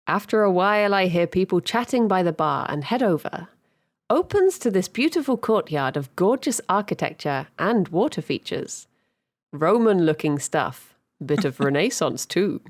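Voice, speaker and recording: A woman's voice: lowish voice, big pitch swings, normal speed. A woman podcast host reading a guest's dream diary aloud, breaking into frequent laughter. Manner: giggly, reads with frequent laughter.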